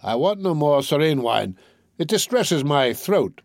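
A man's voice: on the high side, sing-song, measured pace, dry recording, clean studio sound, pitched above the performer's own voice. A man voicing a brash, boastful, drunkenly jovial young male vagabond in armor, with a swaggering, declamatory manner, in a storybook reading. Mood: boastful, rowdy. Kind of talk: storybook character voice in an audiobook.

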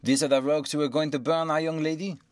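A man voicing an excitable, anxious and indignant manservant in a storybook reading. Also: a little high, quick pace, no echo, close to an everyday voice. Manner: agitated, exclaiming.

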